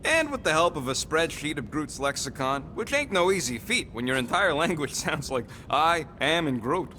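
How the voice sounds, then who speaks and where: A cocky little bounty hunter creature in a video game. He is irritable and slangy, with a sharp, forceful edge and pitch that jumps around a lot. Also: big pitch swings, normal speed, a little hiss or hum. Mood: grudging, boastful. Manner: brash, dismissive, slangy street talk.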